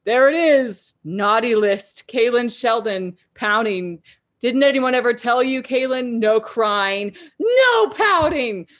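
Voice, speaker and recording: Sinister slow-talking Santa, woman puts him on, hissing threats, gloating. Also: lively intonation, a bit bright. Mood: booming gloating. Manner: slow, hissing, then booming. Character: menacing male Santa, sly and gloating.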